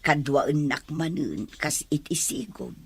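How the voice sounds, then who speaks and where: A woman playing an elderly, coaxing, stubborn grandmother in a radio drama, with drawn-out, emphatic delivery. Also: low-pitched, lively intonation, vocal fry, slight background noise, full character voice. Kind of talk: Ilocano radio drama acting.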